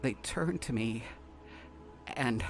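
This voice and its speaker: A man playing a shaken, earnest researcher in an audio drama, giving halting, haunted, stammering testimony. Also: mid-range voice, animated, vocal fry, soft background music. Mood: agitated. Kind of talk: dramatic first-person audio drama narration.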